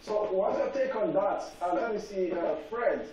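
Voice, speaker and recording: A young man hosting a televised panel discussion, halting with many fillers. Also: high voice, animated, darkish tone, highs cut off. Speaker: TV panel anchor. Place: TV studio set. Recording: echoey room, faint noise, far from mic.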